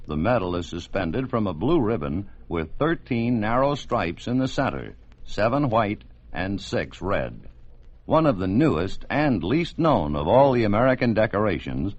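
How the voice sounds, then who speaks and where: A man reading a formal scripted announcement in vintage radio style. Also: lowish voice. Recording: muffled vintage radio recording.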